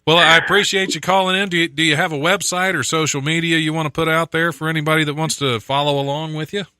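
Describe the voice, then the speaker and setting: A man's voice: a little high, fairly even intonation, fast, bright, forceful tone, smooth, dry recording. A man hosting a radio show from a studio, chatting casually, laughing often and joking at his own expense. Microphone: studio microphone.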